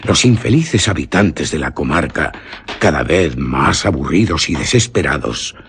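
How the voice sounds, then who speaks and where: Male radio drama narrator, extremely low pitch, lively intonation, horror storytelling. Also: measured pace, vocal fry, slight background noise.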